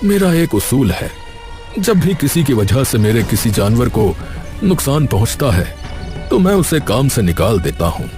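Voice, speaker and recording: A stern village landlord in a cartoon moral tale, played by a man. He is authoritative and measured, bound by his rules, with an average male pitch and music playing behind. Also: sing-song. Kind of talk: Hindi animated moral story dialogue.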